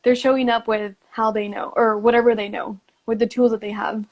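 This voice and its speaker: A woman's voice: fairly high pitch, little pitch movement, fairly quick, dull audio. A woman hosting a podcast, talking casually and reflectively in a supportive, informal way peppered with "like". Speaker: podcast host.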